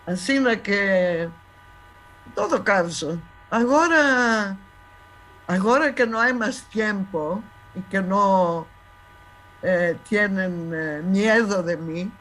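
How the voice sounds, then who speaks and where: A woman's voice: mid-range voice, slow, fairly bright tone, low-quality recording. A woman in her seventies from Thessaloniki, speaking in an interview with a Salonica Judeo-Spanish accent, emphatic and insistent, often restarting her phrases. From Thessaloniki, Greece. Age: 70s. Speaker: guest historian. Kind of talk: conversational interview.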